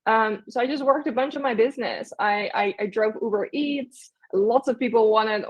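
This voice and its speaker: A woman's voice: on the high side, normal speed, dull audio. A young woman from the Netherlands, chatting casually as an interview guest, with lots of fillers and restarts. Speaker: guest, agency owner.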